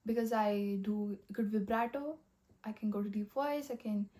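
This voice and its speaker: A young woman talking in a rambling, earnest way, full of fillers, with a soft voice that has a slight husky edge. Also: medium pitch, normal speed. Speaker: vlogger. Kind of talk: casual motivational monologue.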